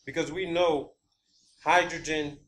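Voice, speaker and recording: A man giving an unscripted monologue as an online video creator, thinking aloud haltingly and bluntly, repeating phrases for emphasis. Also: fairly high pitch, steady tone, fairly bright tone. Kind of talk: unscripted monologue sharing theories. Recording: background room noise.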